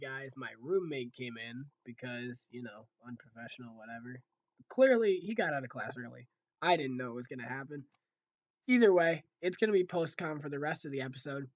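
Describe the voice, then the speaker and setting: A young man with a hoarse, fading voice, chatting casually to viewers over football game footage from a room at home. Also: mid-range voice, a little mellow, lo-fi sound, a little hiss or hum. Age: young adult. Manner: chatty, talks straight to viewers as "guys". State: hoarse, losing his voice. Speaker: gaming video creator. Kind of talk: casual gameplay commentary.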